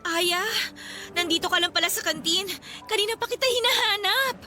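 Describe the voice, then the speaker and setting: Worried young student friend, squeaky-high and bright, hesitant and flustered, radio drama. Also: female voice, moderate pace, faint music behind. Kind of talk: Filipino radio drama acting.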